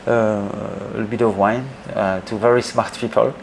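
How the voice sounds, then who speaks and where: French guy being interviewed, deep voice, kinda hesitant and humble, bit of noise behind him. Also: steady tone, moderate pace, vocal fry. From northeast France. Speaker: guest, wine educator. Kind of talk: casual interview chat, telling anecdotes.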